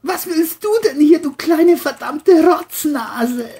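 A woman's voice: high voice, takes it slowly, warm tone, voice pushed up. A woman voicing an abusive, sneering man, a mean bully with a menacing, mocking manner, in an audio crime drama. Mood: menacing, insulting.

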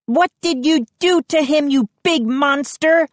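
Indignant, shrieky girl for an audiobook, played by a woman, very high-pitched and animated. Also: a little slow, no room sound. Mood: alarmed. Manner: shrieking, screaming. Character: skinny scolding girl, indignant and easily alarmed. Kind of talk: audiobook character voice.

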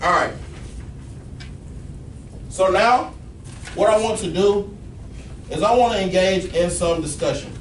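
High-voiced teacher in an echoey classroom. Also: male voice, measured pace, pauses often. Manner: directive, checks in with "all right?". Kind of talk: classroom instruction. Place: large classroom with students. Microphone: far camera at back of room. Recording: echoey classroom from far off, noisy.

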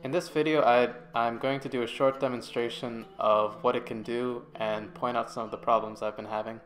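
A young adult man narrates a student project demonstration as a voice-over. His pitch sits in the middle for a man, and his phrasing is informal and a little tentative. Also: fairly even intonation, moderate pace, soft background music. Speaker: student presenter. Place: voice-over to slides.

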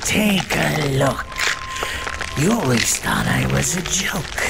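A man's voice: on the high side, lively intonation, slow, gravelly, very noisy, heavily acted. A man voicing a sadistic demonic clown villain in a comic dub, mocking and taunting as he gloats. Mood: gloating, taunting.

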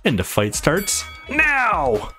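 A man's voice: sits high, wildly swooping pitch, slow pace, bright, forceful tone, soft background music, voice pushed up, heavily acted. Man doing a declamatory fight announcer. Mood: rousing. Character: brisk, official fight announcer at a competition.

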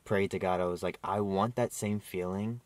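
A man's voice: sits low, steady tone, no room sound. A young man in his early twenties, joking and chatting casually with his fiancée for a vlog from inside a parked car, camera held at arm's length. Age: young adult. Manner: playful, joking, talks fast in bursts. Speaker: vlogger. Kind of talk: casual chat.

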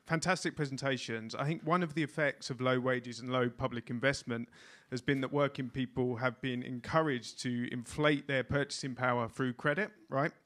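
A man's voice: medium pitch, steady tone, normal speed, a bit bright. A man in the audience asking the panel a question without a mic, in a friendly, casual way. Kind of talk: spoken question from the floor. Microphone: no mic, speaking from the audience.

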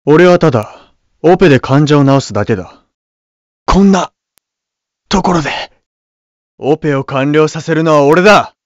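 An actor voicing a proud, cool young surgeon who is an armored hero in a video game, speaking in curt, haughty, solemn declarations. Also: male voice, a little high, normal speed, pauses often, no echo, highs cut off, natural voice. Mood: cool, resolute. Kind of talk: video game battle voice lines.

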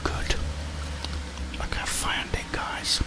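A young man giving whispered ASMR game commentary in a breathy voice, murmuring short remarks to himself and his viewers. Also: slow. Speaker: gaming ASMR host. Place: small indoor room with plain wall.

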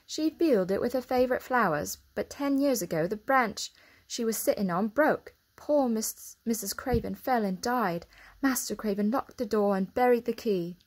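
A woman's voice: fairly high pitch, pitched above the performer's own voice, lightly acted. A woman voicing a nervous, chatty young maid in a storybook reading. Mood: sad. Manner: hurried, jittery.

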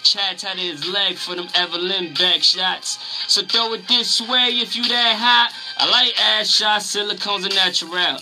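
A man's voice: sits high, measured pace, hardly any pauses, music in the background. A young man from New York rapping freestyle in a brash, boastful, streetwise way on a close studio microphone. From Harlem, New York. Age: young adult. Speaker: guest rapper. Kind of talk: freestyle rap over a beat. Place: small radio studio. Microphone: close studio boom microphone. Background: hip-hop beat playing.

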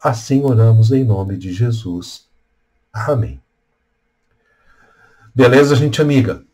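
An older Brazilian man speaks as a pastor giving a devotional. His medium-pitched voice has a husky grain. He moves slowly and deliberately, pausing between short phrases. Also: animated, warm tone. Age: older, around 60s. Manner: measured, deliberate phrasing with pauses. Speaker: Lutheran pastor. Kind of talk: devotional talk with scripture reading and prayer. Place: small room at home or office, indoors. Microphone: webcam at desk distance.

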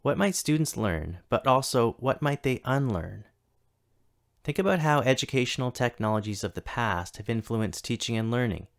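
A man in his thirties giving a scripted keynote as a school technology coordinator, reading at a measured, deliberate pace with pauses between items. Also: medium pitch, expressive, vocal fry. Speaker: keynote speaker, tech coordinator. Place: home or office, recorded for a virtual conference.

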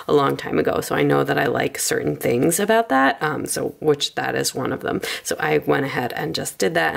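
A woman chatting informally through a casual craft flip-through in a rambling way, slightly hoarse from a cold. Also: sits low. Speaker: craft video creator.

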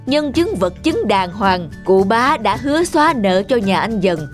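Male magistrate, middle-aged, calm, fair, authoritative, measured, cartoon fairy tale, music behind. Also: high-pitched. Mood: measured, judicial.

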